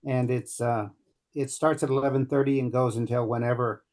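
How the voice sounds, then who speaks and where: A man's voice: mid-range voice, steady tone, fairly quick, a little mellow. A man organizing a local meetup, talking easygoing and a little hesitant in a casual group video call. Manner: easygoing, hesitant, laughs lightly.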